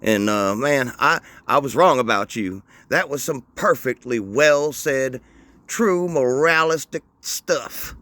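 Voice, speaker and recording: A man's voice: mid-range voice, takes it slowly, bright, forceful tone, no room sound. A man hosting a commentary show, mocking the clips he plays with dry sarcasm. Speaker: commentary host.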